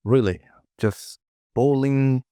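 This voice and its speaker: A man narrating a casual step-by-step software tutorial in short instructions, often repeating phrases and asking himself questions. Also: mid-range voice, takes it slowly, clear, resonant tone, no echo, studio quality.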